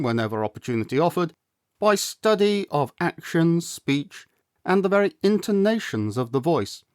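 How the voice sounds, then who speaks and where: A man narrating a classic novel as a storyteller, with measured, deliberate phrasing and dramatic pauses. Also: on the high side, dry recording, studio quality. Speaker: storyteller, audiobook reader.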